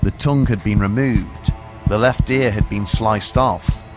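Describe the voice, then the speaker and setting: A man narrating a scripted horror storytelling trailer in an ominous, deliberate, dramatic manner. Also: on the low side. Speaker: podcast narrator.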